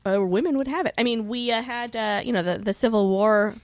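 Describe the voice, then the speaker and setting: A woman's voice: a little high, fairly quick, dry recording. An American woman chatting casually as a podcast guest, informal and wry, swearing freely and wandering into asides. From United States. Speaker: podcast guest who hosts her own show.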